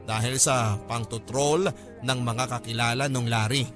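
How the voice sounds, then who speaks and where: A man's voice: medium pitch, natural voice. A man performing an earnest young student's story as scripted radio drama narration, polite and confiding. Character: earnest, worried young student friend telling their story.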